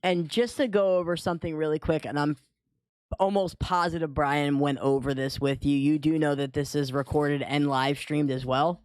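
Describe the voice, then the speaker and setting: A man's voice: on the high side, fairly even intonation, normal speed, clean tone, no echo. A man co-hosting and interviewing on a casual livestream. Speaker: co-host interviewer.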